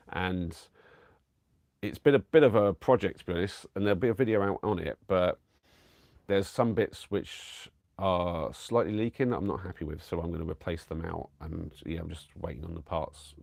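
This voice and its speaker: A man's voice: low-pitched, steady tone, normal speed, gravelly, no room sound, studio quality. A man, rambling casually to viewers on a live stream from his camper van, a bit bunged up from a cold. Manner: chatty, rambling, warm to viewers, many fillers and restarts.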